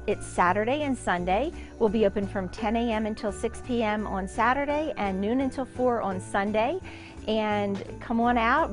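A middle-aged woman, a shop owner, chatting enthusiastically as she gives a tour of her large indoor store, with frequent ums. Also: fairly high pitch. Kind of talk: guided store tour interview.

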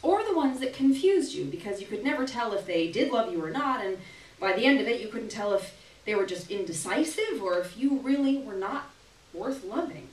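A young adult woman giving an expressive, dramatic reading of literary fiction on stage, acting out the dialogue. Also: a little high, moderate pace. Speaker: performer reading a short story. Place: small indoor gallery with audience. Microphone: camera at a distance from stage. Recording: echoey room, distant microphone.

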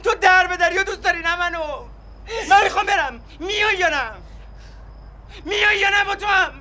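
An actor playing a desperate young man on the run after a killing, talking low and bitter, then shouting in anger. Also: animated, a little hiss or hum. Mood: angry. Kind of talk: TV drama acting.

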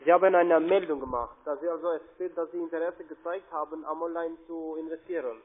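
A man with a fairly high voice and a foreign accent talks over a tinny phone line. He works through a call center sales pitch haltingly, often repeating himself. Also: steady tone, background noise. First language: not German. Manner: hesitant, repetitive. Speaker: call center investment agent.

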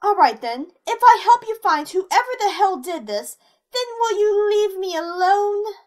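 A woman's voice: very high-pitched, sing-song, measured pace, exaggerated voice. A woman doing a sassy, flirty female monster. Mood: defensive. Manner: provocative, sassy drawl. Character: flirty brash female monster, teasing troublemaker. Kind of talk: fan audio drama acting.

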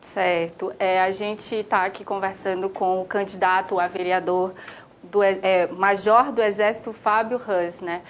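A woman's voice: medium pitch, level tone, unhurried, a little hiss or hum. A woman interviewing a political candidate for a news channel, conversational with hesitant fillers.

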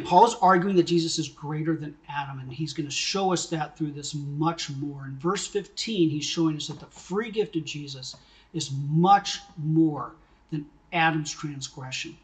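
A middle-aged man delivers a sermon from his home study, speaking into a desk webcam. He teaches in a conversational way and poses questions to his listeners. His voice sits a little higher than most men's. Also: a bit bright. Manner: conversational teaching, asks questions, gestures with hands. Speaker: pastor. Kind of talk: sermon recorded at home for video.

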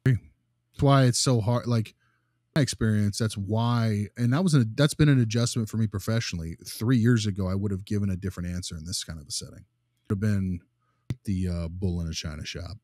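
A man's voice: fairly low pitch, fairly quick, darkish tone, dry recording, clean studio sound. A man talking casually as a podcast co-host, emphatic and often breaking off to restart his thought. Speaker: podcast co-host, behavior analyst.

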